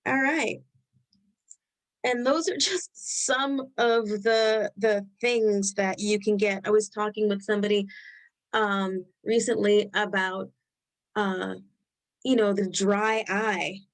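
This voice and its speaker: A woman with a Rhode Island accent hosting a live health webinar, talking loosely and thinking out loud as she goes. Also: mid-range voice, steady tone, takes it slowly, poor audio. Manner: loose, conversational, thinks aloud while reading the chat. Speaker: webinar host.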